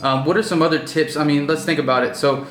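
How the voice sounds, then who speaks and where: A man's voice: a little high, steady tone, fast, fairly bright tone, echoey room, slight background noise. Rambling young man hosting a vlog. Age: 20s. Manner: rambling, many fillers and restarts. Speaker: vlog host and business owner. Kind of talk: casual chat. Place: indoors at night.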